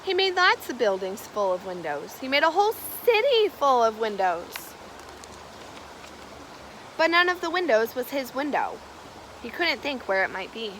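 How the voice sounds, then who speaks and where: A woman reads a children's picture book aloud in a high, bright voice. Her delivery is expressive and very animated, with key words stressed as the story is told. There is some faint noise behind her. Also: moderate pace. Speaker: storybook reader. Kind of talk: children's read-aloud, scripted narration.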